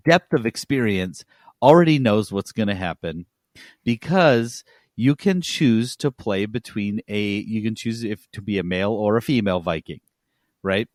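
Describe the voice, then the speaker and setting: A man's voice: on the low side, animated, normal speed, dry recording, clean studio sound. A man co-hosting a podcast, talking about games in a casual, enthusiastic, informal way. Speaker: podcast host. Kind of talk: casual gaming chat.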